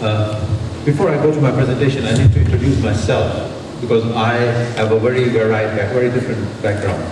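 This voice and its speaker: A man's voice: mid-range voice, fairly even intonation, fast talker. A middle-aged man from Tanzania of Indian origin giving a conference speech, with an emphatic manner that repeats phrases for effect. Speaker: university lecturer, conference speaker. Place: large conference hall. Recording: very echoey hall, distant mic, clear noise.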